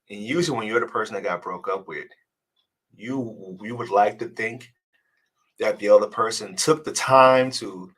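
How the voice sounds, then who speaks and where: Man, somewhat low voice, congested with a cold, dating coach, casual live-stream talk, earnest, repeats words. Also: fairly bright tone, low-quality recording. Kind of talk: live-stream advice chat reading comments.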